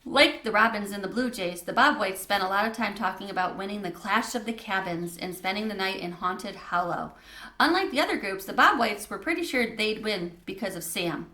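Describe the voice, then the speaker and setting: A woman narrating a children's storybook aloud with expressive reading. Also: mid-range voice, brisk. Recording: echoey room.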